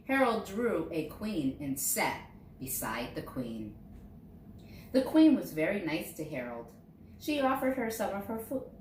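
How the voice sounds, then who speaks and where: A woman reads a picture book aloud to young children in an expressive way, dramatizing key words. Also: mid-range voice. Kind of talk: storybook read-aloud. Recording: roomy echo, distant mic, faint noise.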